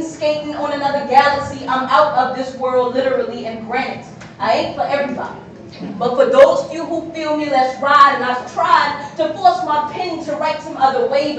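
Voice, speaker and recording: High-voiced woman performing spoken word. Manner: rhythmic, emphatic delivery with crowd banter. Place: art gallery, indoors, live audience. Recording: echoey hall, distant and noisy.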